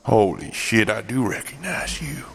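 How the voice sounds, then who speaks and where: An actor playing a small-town police officer who turns into a gushing, starstruck fan. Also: male voice, on the low side, unhurried, creaky, breathy edge, soft background music, full character voice. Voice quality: excitable, gushing, squeals with delight.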